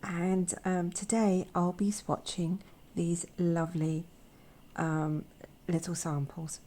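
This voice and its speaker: A young woman hosts a relaxed art vlog in a croaky, sore-throated voice. She speaks very slowly and softly, with a mellow, darker tone, and often sinks into a whisper. Her talk is gentle and unhurried, dotted with small hesitations. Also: on the low side. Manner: soft-spoken, gentle, often drops to a whisper; hesitant with frequent fillers. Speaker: watercolour artist and channel host. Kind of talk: casual art vlog, swatching paints.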